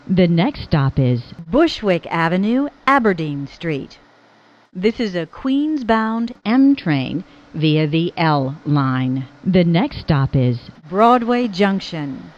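A woman transit announcer reading scripted train announcements in a measured, formal, stitched-together way. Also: lowish voice, a little mellow. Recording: dull lo-fi announcement recording.

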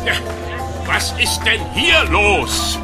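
An actor playing a blustering, bemused police commissioner in a children's audio drama, musing in drawn-out puzzlement. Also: male voice, high voice, sing-song, music in the background, full character voice.

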